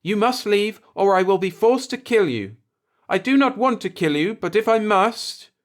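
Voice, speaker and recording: A man's voice: high voice, lively intonation, moderate pace, pitched above the performer's own voice. A man voicing a stern, superstitious old alien native who speaks formally and insistently in a story. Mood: grave, threatening. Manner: formal, insistent, archaic speech.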